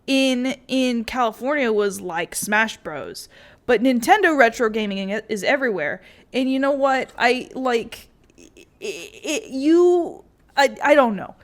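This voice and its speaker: Blunt, sweary woman with a highish voice. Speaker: video game streamer. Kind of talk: casual gameplay commentary, opinionated rant. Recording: game music under the voice. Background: music.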